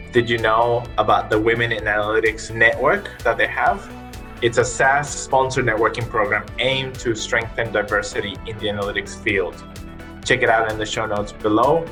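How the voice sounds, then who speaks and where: A man presents as a podcast host. His voice sits at an average pitch and has a bright, forceful edge that carries each phrase. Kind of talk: sponsor reads and panel hosting.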